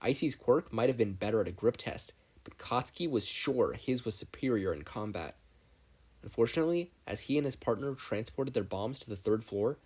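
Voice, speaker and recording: A podfic narrator reading scripted fiction aloud in an expressive storytelling style. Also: medium pitch, slightly rough.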